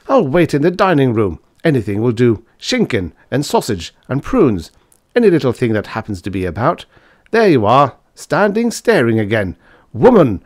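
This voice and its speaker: A man's voice: medium pitch, no echo, studio quality, pitched above the performer's own voice, lightly acted. A man voicing an irascible, pompous older professor in a storybook reading, blustering and imperious. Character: irascible, pompous older male German professor, bellowing indignantly.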